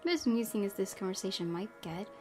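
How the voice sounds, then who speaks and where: A woman's voice: medium pitch, animated, fast talker, darkish tone. A woman game streamer reading a visual novel aloud with casual commentary. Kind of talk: let's play narration with casual commentary. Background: faint music.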